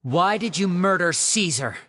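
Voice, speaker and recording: Game role, young magician boy: lowish, composed, clever, showmanlike, deliberate. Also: expressive, fairly bright tone, slight background noise. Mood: taunting. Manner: measured, theatrical, deliberate. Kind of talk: video game voice acting, dramatic monologue.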